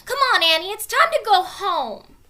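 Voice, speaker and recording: Storybook boy, played by a woman reader: young, cautious, protesting, bright animated voice. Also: extremely high pitch, normal speed, voice pushed up, heavily acted. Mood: worried. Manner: earnest, protesting. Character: cautious, bookish young boy, worried older brother. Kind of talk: children's storybook read-aloud.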